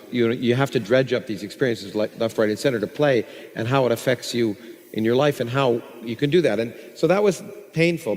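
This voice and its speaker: A man's voice: mid-range voice, darkish tone. A man interviewing a guest on stage, chatty and tripping over his own questions. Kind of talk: onstage interview. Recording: hall reverb, faint noise.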